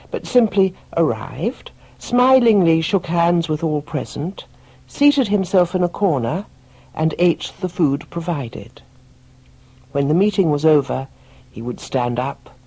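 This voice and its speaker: A storyteller reading a tale as an audiobook, in a soft, breathy voice with measured delivery and dramatic pauses. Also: medium pitch, poor audio. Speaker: storyteller narrator. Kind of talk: scripted audiobook story narration.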